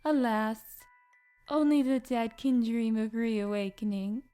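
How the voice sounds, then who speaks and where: Riddling witch chanting slow prophecies, woman voicing her. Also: on the high side, mellow, clear, resonant tone, faint music behind. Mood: ominous. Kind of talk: fan audio drama acting.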